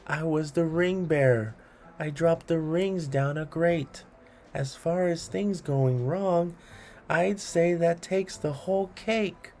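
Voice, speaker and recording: A man reading funny children's rhymes aloud in a deliberate, theatrical storytelling way. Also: a little high, warm tone, a little hiss or hum. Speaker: read-along host.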